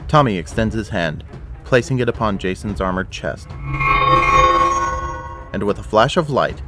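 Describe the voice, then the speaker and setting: A man's voice: fairly low pitch, expressive, a little slow, loud music behind the voice. A man delivering scripted, dramatic third-person narration for an audio drama.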